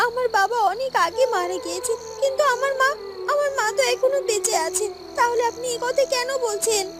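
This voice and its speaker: A woman's voice: very high-pitched, a little fast. A woman playing an anxious, insistent young daughter in a fairy-tale cartoon.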